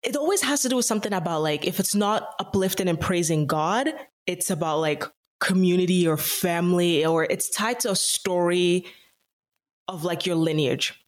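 A woman's voice: on the low side, moderate pace. A young woman from Nigeria with a Nigerian accent mixed with Canadian, talking casually as an interview guest and filling her speech with "like" and laughs. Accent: Nigerian with Canadian mix. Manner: chatty, many "like" fillers, laughs often.

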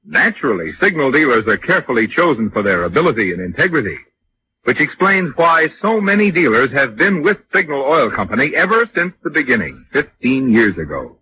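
A man's voice: mid-range voice, sing-song, brisk. A man delivering a polished, persuasive sponsor announcement as a 1940s radio announcer. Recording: muffled, noisy 1940s radio recording.

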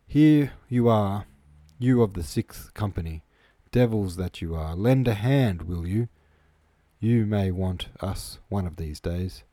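Man acting a bossy, rough soldier shouting orders. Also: lowish voice, animated, slow, no room sound. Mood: rough, impatient. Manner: barking orders, coarse and hearty. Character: rough, bossy male soldiers hauling a heavy load.

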